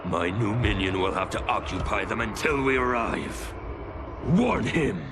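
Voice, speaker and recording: A male actor playing a short-tempered, domineering villain overlord in a video game, dramatic and commanding with a gravelly voice. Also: lowish voice, lively intonation, unhurried, noisy background. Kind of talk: video game cutscene acting.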